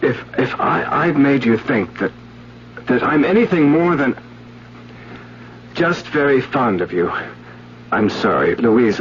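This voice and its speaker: A muffled old radio recording of an indignant, flustered man rebuffing an admirer. His pitch swings widely as he stammers and speaks with heavy emphasis, in seventies radio drama style. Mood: awkward, apologetic. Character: indignant American actor rebuffing an infatuated woman. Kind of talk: 1970s radio drama acting. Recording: muffled, faintly noisy vintage radio broadcast.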